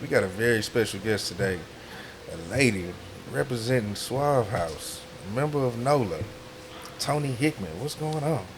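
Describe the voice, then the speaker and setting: A man hosts a casual interview podcast in a laid-back, informal voice at an ordinary middle pitch. He talks in relaxed slang and affirms his guest, with some noise audible behind him. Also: normal speed.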